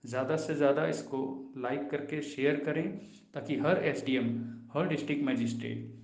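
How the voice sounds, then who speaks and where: Guy doing emphatic legal explainer, mid pitch, drops in english law terms, echoey room sound. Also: normal speed. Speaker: host of a legal explainer channel. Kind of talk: explanatory legal commentary with acted dialogue.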